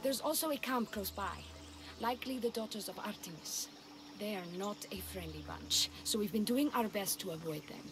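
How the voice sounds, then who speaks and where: A woman's voice: medium pitch, lively intonation, normal speed, lightly acted. An actress playing a weary, practical village woman who leads, earnest and guarded, in a video game. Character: weary, pragmatic unwed village woman leader. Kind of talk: video game cutscene acting.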